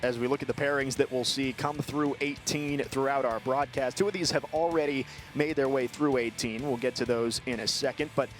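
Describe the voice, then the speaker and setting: A man's voice: medium pitch, fairly even intonation, brisk, faint music behind. A man with an American accent giving live play-by-play golf commentary as a sports broadcaster.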